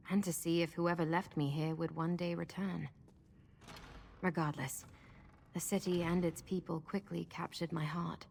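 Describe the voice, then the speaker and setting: An actress playing a kind masked immortal woman with lost memories, speaking in a gentle, reflective way. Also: on the low side.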